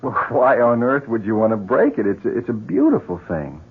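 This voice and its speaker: An actor playing a concerned, reasonable family friend in a 1970s radio drama, measured and sympathetic. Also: male voice, medium pitch, very animated, gravelly, slightly rough, heavily acted. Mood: puzzled. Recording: muffled old radio broadcast.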